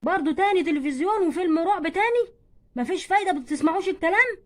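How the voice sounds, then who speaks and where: A woman's voice: very high voice, animated, quick pace. A woman voicing a stern, exasperated father in doll play, nagging and scolding with an Egyptian accent. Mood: angry.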